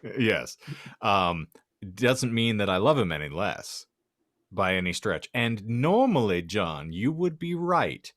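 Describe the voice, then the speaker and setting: A man's voice: fairly low pitch, very animated, a little slow, a bit bright, dry recording, studio quality. A man co-hosting a casual comedy podcast, talking in a wry, wordy and irreverent way. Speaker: podcast co-host.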